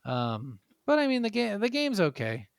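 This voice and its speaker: A man's voice: high-pitched, wildly swooping pitch, normal speed, vocal fry, dry recording, clean studio sound. A man co-hosting a gaming podcast, chatting informally and swearing casually. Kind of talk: casual chat about video games.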